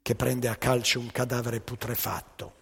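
Male stage storyteller: heavily rasping, creaky voice, emphatic rhetorical monologue in short measured phrases, live hall reverb. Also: mid-range voice, little pitch movement, fairly bright tone. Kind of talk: theatrical spoken monologue.

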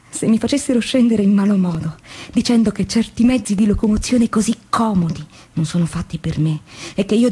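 A husky, weary woman factory worker. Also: medium pitch, mellow. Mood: bitter, resigned. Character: weary, introspective woman factory labourer keeping a diary. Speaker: stage actress performing a monologue. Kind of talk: dramatic first-person theatre monologue, diary reading.

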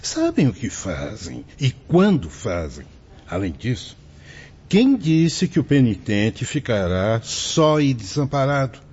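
A Brazilian actor playing a wise, fatherly priest spirit with a husky voice, reassuring in a radio drama. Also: medium pitch, huge pitch swings, takes it slowly, darkish tone, full character voice. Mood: calm, fatherly. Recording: dull lo-fi audio.

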